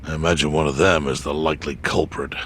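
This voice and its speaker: An actor playing a grave, weary elderly yakuza chairman in a dramatic video game, speaking in a measured, solemn way with a gravelly voice. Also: a bass voice, fairly even intonation, a bit bright, slight background noise.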